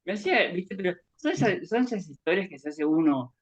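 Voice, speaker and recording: A man's voice: high-pitched, animated, a little fast. Middle-aged Argentine male guest, conversational storyteller who meanders, dull lo-fi call audio. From born in Japan, grew up in Argentina. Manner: rambling, conversational, acts out remembered dialogue. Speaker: guest author giving a testimony. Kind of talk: personal reminiscence in an online talk.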